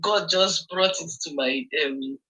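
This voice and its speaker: A woman's voice: deep voice, a little slow, bright, forceful tone, poor audio. A woman with a Nigerian accent calling in to share a testimony, telling her story with hesitant ums. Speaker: caller sharing a testimony. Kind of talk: call-in testimonial.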